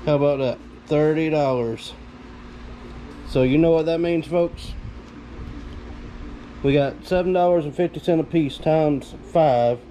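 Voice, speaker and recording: A man's voice: on the high side, dark tone, slight background noise, rough recording. A man hosting a casual vlog, talking to viewers in a folksy way. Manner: folksy, addresses viewers directly. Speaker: video host.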